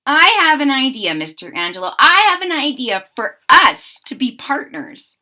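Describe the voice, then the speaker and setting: An eager, cheerful little boy encourages his friend in a high, lively voice, as a woman puts him on for a storybook. The voice is bright and animated. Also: normal speed, heavily acted. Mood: encouraging. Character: cheerful helpful young boy.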